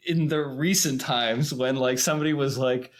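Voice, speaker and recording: A man's voice: mid-range voice, normal speed. A man hosting a casual film chat, talking in a rambling, informal, slangy way. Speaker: podcast host. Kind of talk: casual chat about films.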